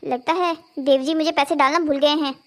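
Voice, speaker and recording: High-pitched melodramatic young daughter-in-law played by a woman. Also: fairly even intonation, fairly quick, a bit bright. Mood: worried, chatty. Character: young daughters-in-law, shopping-obsessed and quick to complain. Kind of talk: Hindi cartoon family drama dialogue.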